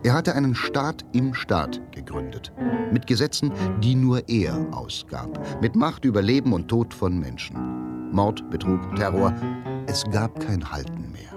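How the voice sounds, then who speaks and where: Male narrator for radio drama: deep, unhurried, deliberate clipped phrasing over clear music. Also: big pitch swings. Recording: music behind the voice.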